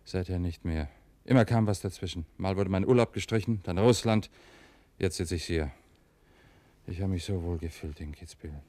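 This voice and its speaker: A man plays a wistful war veteran turned clerk in a radio drama. His voice is very low, his delivery plain and resigned, that of someone worn down. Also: moderate pace, dark tone, dry recording, close to an everyday voice. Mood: wistful, weary.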